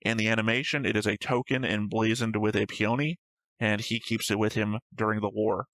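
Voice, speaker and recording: A man's voice: lowish voice, steady tone, fairly quick, a bit bright, dry recording, poor audio. A man hosting a reaction show, reading out notes and discussing them casually in a matter-of-fact, slightly pedantic way.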